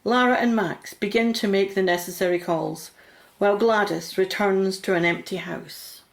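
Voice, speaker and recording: A woman's voice: medium pitch, normal speed, creaky. A woman narrating a radio drama from a script, in the role of storyteller.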